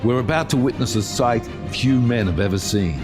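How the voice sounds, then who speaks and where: An actor playing a grave, commanding submarine captain in an audio drama, speaking in a measured, portentous, theatrical way. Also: male voice, mid-range voice, lightly acted. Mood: grave awe. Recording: loud dramatic music under the voice.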